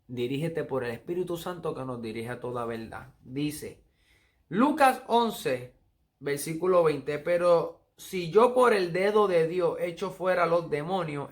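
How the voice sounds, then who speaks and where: A man with a Puerto Rican accent giving an earnest Bible study sermon and reading verses aloud. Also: on the high side. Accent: Puerto Rican Spanish. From Puerto Rico. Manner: earnest, teaching, reads verses aloud slowly. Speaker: preacher.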